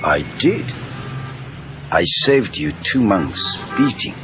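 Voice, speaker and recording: Wise old Okinawan sensei, Japanese accent, very slow broken English, low swooping pitch, muffled cassette drama. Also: male voice, big pitch swings, vocal fry, music in the background, heavily acted. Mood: stern, matter-of-fact. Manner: terse broken English, deliberate pauses. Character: wise old Okinawan karate master and maintenance man, calm. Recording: muffled lo-fi read-along cassette tape.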